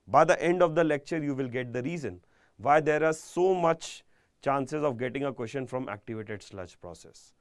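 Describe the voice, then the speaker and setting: A man with an Indian accent giving a live online exam-prep engineering lecture, speaking deliberately and emphatically and repeating key phrases. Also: medium pitch, lively intonation, no echo, studio quality.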